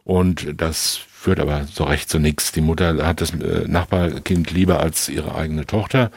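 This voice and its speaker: Male expert guest, low and rough-edged, deliberate and analytical in unscripted discussion. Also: dry recording. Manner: deliberate, hedging, long-winded explanations.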